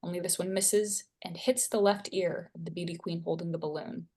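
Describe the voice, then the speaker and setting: A woman's voice: lowish voice, fairly even intonation, brisk, dark tone. A woman reading stage directions aloud as scripted narration at a screenplay table read.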